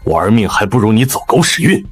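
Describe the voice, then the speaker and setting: A man playing a brash, swaggering fighter who talks coarsely and cockily in an animated drama. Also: on the low side, fairly quick, faint music behind. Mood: agitated, boastful. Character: brash, swaggering, foul-mouthed male fighter.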